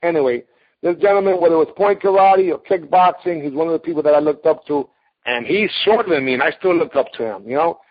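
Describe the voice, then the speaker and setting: Male interviewer, slightly high voice, effusive and respectful, casual talk-show delivery over dull lo-fi call audio. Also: quick pace. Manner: emphatic, effusive, respectful, often addressing guest as sir. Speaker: interviewer, host of a martial arts talk show. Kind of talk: casual interview, enthusiastic introduction.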